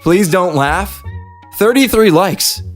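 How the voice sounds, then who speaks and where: A man's voice: sits high, animated, slow, soft background music. A man hosting casual reaction commentary and judging auditions in a playful, candid, teasing way. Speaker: video host judging voice auditions.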